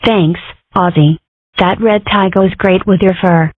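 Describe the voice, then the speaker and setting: A woman's voice: lowish voice, steady tone, a little slow, dry recording. A woman voicing a cheeky, contrary young girl fox in an amateur comic dub, delivering dry jokes matter-of-factly. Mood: earnest. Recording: muffled, phone-like narrow sound.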